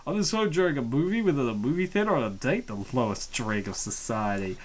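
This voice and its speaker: Lively, casual woman giving joking commentary. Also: extremely low pitch, fast talker, a little hiss or hum. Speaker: co-host reacting to a comic dub.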